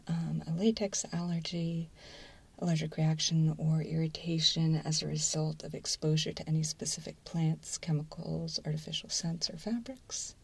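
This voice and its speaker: A woman playing a mild, businesslike intake assessor in an ASMR role-play, in a soft breathy whisper with a methodical, slightly hesitant manner. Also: sits low, full character voice. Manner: methodical, hesitant, matter-of-fact. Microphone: close microphone.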